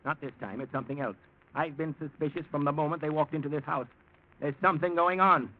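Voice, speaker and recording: A man acting as a crotchety old patriarch in a vintage radio play. His voice sits fairly high for a man, blunt and accusing. The sound is dull and muffled like an old broadcast. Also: fast, natural voice. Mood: angry, suspicious. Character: sour, suspicious old grandfather, domineering and sharp-tongued. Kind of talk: 1940s radio drama acting.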